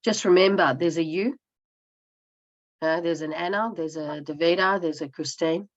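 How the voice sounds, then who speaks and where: Woman, 50s, lowish and reflective, halting speech, muffled call line. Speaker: coaching group member. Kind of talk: casual self-introduction. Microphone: video call. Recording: dull lo-fi video call audio.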